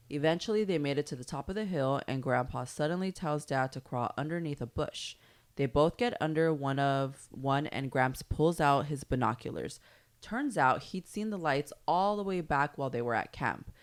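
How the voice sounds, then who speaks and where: Woman co-host, Spanish first language, deep voice, casual reader who trips over words and swears. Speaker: podcast co-host. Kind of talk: reading a story aloud, then casual chat.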